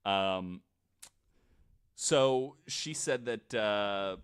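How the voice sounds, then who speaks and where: Low-voiced man explaining casually on a podcast. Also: fairly bright tone, clean tone. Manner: halting, informal delivery with swearing and asides. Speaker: podcast host presenting a researched story.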